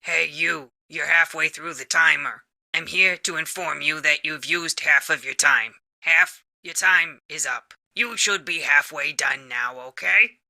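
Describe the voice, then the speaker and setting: A jaded talking office appliance in a comedy video game. The voice is fairly high for a male and extremely bright and edgy, with grudging, deadpan announcements. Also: unhurried, gravelly, exaggerated voice. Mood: insistent. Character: jaded, grumpy male talking office machine, bored employee.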